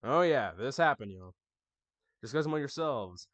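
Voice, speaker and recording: A man's voice: mid-range voice, animated, normal speed, a bit bright, no echo. Chatty young man hosting a gaming channel. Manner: chatty, addresses viewers as y'all. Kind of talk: casual scripted anniversary recap.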